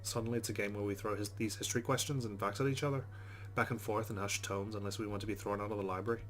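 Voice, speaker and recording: Guy doing a lets play, reads game text out real fast, kinda low voice, chatty. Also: fairly even intonation, fairly bright tone, soft background music. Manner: informal, chatty asides between reading. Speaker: let's play host. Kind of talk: gameplay commentary and reading visual novel text aloud.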